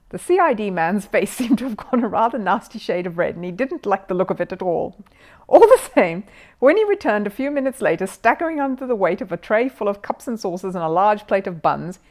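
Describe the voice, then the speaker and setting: A woman's voice: medium pitch, darkish tone. A woman narrating a children's story expressively from a written text.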